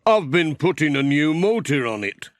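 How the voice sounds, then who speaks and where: A man's voice: on the high side, big pitch swings, normal speed, no echo, studio quality, pitched above the performer's own voice. A man voicing a cheerful, eager and insistent village handyman in a children's storybook.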